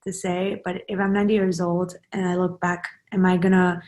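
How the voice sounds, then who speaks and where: A woman's voice: lowish voice, little pitch movement, brisk, darkish tone. Twenty-something woman chatting as a guest, rambly, lots of um and you know. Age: 20s. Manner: rambling, many fillers and restarts. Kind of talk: casual interview chat.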